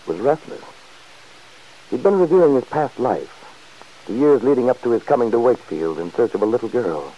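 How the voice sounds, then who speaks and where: A man narrating a radio serial, reading the story in a measured storyteller's way. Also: on the low side. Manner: smooth, measured storyteller's delivery. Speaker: announcer and narrator. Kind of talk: scripted narration. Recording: dull, muffled old radio recording.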